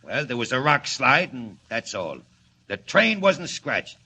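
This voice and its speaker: An actor playing a slangy, excitable gangster henchman with blunt, streetwise talk, in a 1940s radio serial. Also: mid-range voice, measured pace, heavily acted. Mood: matter-of-fact. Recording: faintly noisy 1940 radio recording.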